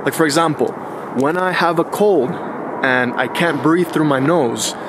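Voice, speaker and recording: A man's voice: on the high side, a little slow. Chatty thirty-year-old man, traffic behind. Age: 30s. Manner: relaxed and chatty, with slangy asides. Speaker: vlogger. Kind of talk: casual reflective monologue.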